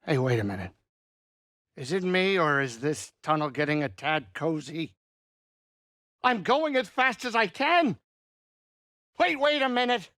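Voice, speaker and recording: A man voicing a grumbling but warm-hearted older alien pilot and saloon keeper in a video game, with a gravelly, blustery, wisecracking delivery. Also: fairly high pitch, sing-song, a little slow, long pauses, no room sound, clean studio sound. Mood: alarmed. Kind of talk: expressive video game character acting.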